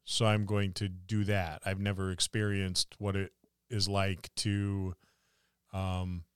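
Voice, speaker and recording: A man with a fairly low, clean and resonant voice chats as a podcast co-host. He muses aloud, sketching little imagined scenarios in a relaxed conversational way. The sound is dry and close, with very little room. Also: slow pace, smooth, no room sound. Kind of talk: casual discussion of tabletop roleplaying.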